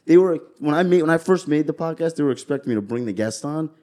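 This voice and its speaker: A man's voice: on the high side, fast talker. A young man hosting a podcast, chatting loosely and swearing often as he jokes with his guests. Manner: loose, profane, animated banter. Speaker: podcast host. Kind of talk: casual podcast chat.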